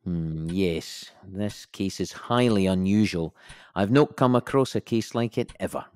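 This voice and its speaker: A deep dry official investigator, formal and measured, audio drama monologue, no room echo. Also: male voice, darkish tone, smooth. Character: dry, officious male investigator of a suspicious death.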